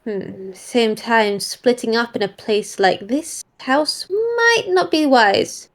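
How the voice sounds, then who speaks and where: Tabletop character: female monkey detective, high voice, eager mentor, playful and folksy, played by a woman. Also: lively intonation, slow. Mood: eager, musing. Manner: playful, folksy, peppered with minced oaths.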